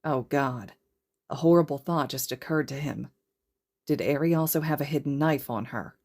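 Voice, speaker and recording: Low-pitched woman narrating fiction. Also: normal speed, a little mellow. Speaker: podfic narrator.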